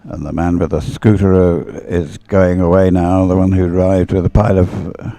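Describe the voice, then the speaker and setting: A man's voice: low voice, little pitch movement, normal speed, creaky, warm tone, no echo. A man doing live sports commentary and off-the-cuff chat in a hesitant, rambling way, often repeating words.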